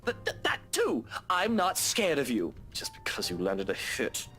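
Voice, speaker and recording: A man's voice: on the high side, huge pitch swings, moderate pace, sharp tone, faint music behind, exaggerated voice. A man playing a hot-tempered, easily flustered man in a visual novel game, blustering and indignant. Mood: flustered, defiant.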